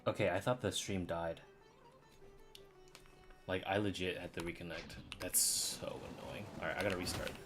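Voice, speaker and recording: Male streamer, deep voice, casual gameplay chatter, offhand swearing, game music underneath. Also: steady tone, normal speed, pauses often. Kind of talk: casual live gameplay commentary.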